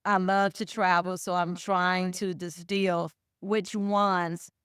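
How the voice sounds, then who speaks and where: A middle-aged woman with a Southern accent speaks in a smooth, clear voice, measured and emphatic as she answers an interviewer. The sound is dry and close, with no room around it. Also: on the low side, flat delivery, a bit bright, dry recording. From Chicago with Southern family roots. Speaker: guest, DEI director.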